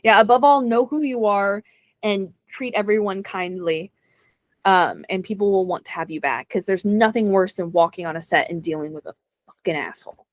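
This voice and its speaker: A woman's voice: mid-range voice, moderate pace, no room sound. A young adult woman chatting as an interview guest, self-deprecating and laughing often. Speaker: guest actor. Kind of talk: casual interview chat.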